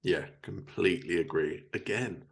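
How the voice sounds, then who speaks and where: A man's voice: sits low, normal speed, gravelly. A man hosting a casual podcast conversation about his work, speaking as a writer. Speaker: podcast host and fiction writer.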